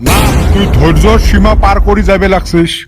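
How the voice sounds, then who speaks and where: A man's voice: sits high, steady tone, moderate pace, very noisy, lightly acted. A man voicing a grumbling village husband in a comedy cartoon, exasperated, with a western Assam accent. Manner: exasperated, complaining.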